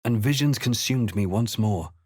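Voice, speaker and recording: Low, measured fantasy game adventurer. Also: male voice, lively intonation, a little mellow, dry recording, studio quality. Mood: grave, intent. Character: male fantasy adventurer hero, measured, wary, quietly wry. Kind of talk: video game voice lines, dramatic narration and muttered asides.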